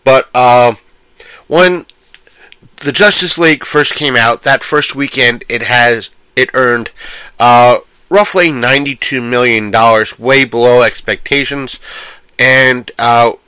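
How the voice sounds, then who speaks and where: A man with a cold, sounding nasal, hosting a casual solo movie-news commentary in a rambling, halting way. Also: medium pitch, pushed and bright, clear, resonant tone, no room sound, poor audio. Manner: rambling, halting, self-correcting. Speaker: host.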